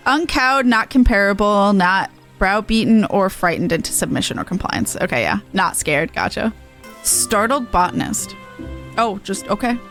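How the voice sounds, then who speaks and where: A woman livestreaming a game, giving casual, playful and expressive commentary with some swearing. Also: mid-range voice, moderate pace, soft background music. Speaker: game streamer.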